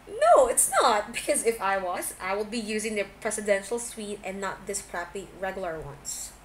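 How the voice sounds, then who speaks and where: A woman's voice: mid-range voice, animated, moderate pace, lightly acted. A woman voicing a giggly, defensive young man in an amateur audiobook, stammering as the character gets flustered. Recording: clearly noisy amateur home recording.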